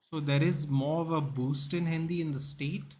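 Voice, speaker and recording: A man's voice: fairly high pitch, steady tone, normal speed, darkish tone. A young man with an Indian English accent from Goa, hosting a casual livestream and chatting with viewers by name, full of restarts and "dude" and "man". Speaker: livestream host. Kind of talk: casual livestream chat reading out viewer comments.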